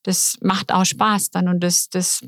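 A woman's voice: on the low side, level tone, a little slow, a bit bright, dry recording, studio quality. A woman in her sixties speaking informally as a podcast guest, in a colloquial, chatty way. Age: 60s. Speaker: podcast guest, office administrator. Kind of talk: casual interview conversation.

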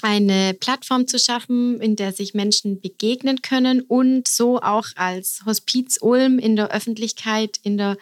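A middle-aged woman with a mid-range voice speaks as a podcast guest. She explains things cheerfully and at length, and she fills her pauses with small hesitations. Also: medium pitch. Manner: cheerful, explanatory, with frequent fillers. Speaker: education officer and podcast guest. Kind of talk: podcast interview answers.

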